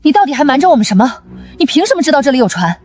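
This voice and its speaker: A woman playing a sharp, suspicious young survivor in a motion-comic drama, demanding and confrontational. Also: sits high, sing-song, racing. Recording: background music behind the voice.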